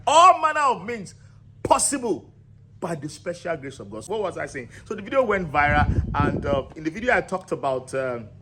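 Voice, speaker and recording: A man's voice: fairly high pitch, big pitch swings, normal speed, heavy background noise. Rousing man, emphatic lists. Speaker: founder of a cultural channel. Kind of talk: impassioned monologue to camera.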